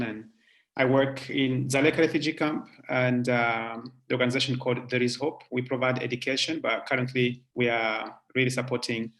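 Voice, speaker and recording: A man speaking as a guest on a panel over a video call, measured, with pauses and fillers. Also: mid-range voice, fairly bright tone, poor audio.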